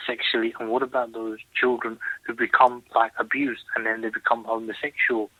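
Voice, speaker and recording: A man's voice: mid-range voice, little pitch movement, fairly quick, no echo. Guy phoning in to radio, emphatic and repetitive, thin phone audio. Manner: earnest, emphatic, repeats points. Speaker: caller. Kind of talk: phone-in call to a radio show. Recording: tinny phone line.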